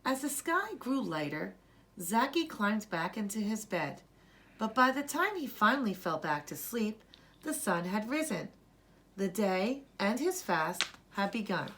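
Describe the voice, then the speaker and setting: Lively woman reading a children's story. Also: medium pitch, unhurried, a little hiss or hum. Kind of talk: expressive children's story narration.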